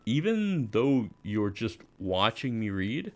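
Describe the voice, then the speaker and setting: A man's voice: on the low side, big pitch swings, slow. A man playing an easygoing, self-deprecating teenage schoolboy, casual and reflective, in first-person visual novel narration and dialogue. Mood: awkward, friendly.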